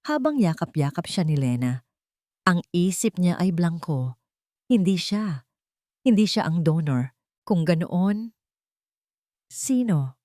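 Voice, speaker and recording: Low-voiced woman narrating romance, dry sound. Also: darkish tone, no room sound. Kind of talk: scripted dramatic romance audiobook narration.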